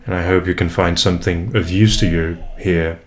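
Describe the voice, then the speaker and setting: Man, young, from Brazil, Portuguese first language, very low voice, halting and thoughtful, home desk mic. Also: fairly even intonation. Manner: hesitant, many fillers and restarts, reflective. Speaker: English tutor and vlogger. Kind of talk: casual first vlog introduction. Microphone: USB desk mic, unprocessed.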